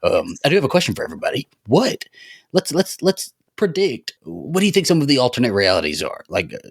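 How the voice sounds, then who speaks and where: A man's voice: mid-range voice, huge pitch swings, quick pace, slightly rough, no echo, clean studio sound. A man co-hosting a podcast in casual, informal chat. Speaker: podcast co-host.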